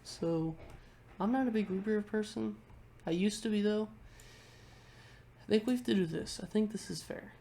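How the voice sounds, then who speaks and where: Stuffy-nosed girl rambling into her webcam, kinda gravelly and mellow, keeps restarting her sentences. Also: mid-range voice, moderate pace, pauses often, a little hiss or hum. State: congested. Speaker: vlogger. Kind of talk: casual chat ranking things on a tier list.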